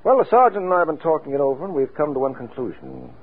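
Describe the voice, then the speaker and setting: Wry radio detective, husky and animated. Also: male voice, on the high side, quick pace, full character voice. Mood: cool, accusing. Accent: American. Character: quick-witted, flirtatious, self-assured male private detective. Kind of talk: 1940s radio detective drama acting. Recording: tinny, muffled old radio recording, music.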